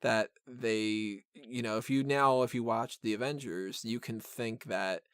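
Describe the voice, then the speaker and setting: Male podcast co-host, clear smooth voice, casual and keen, dry close sound. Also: medium pitch, fairly even intonation, unhurried, a bit bright, no room sound. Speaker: podcast co-host reviewing a film. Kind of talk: casual film review chat.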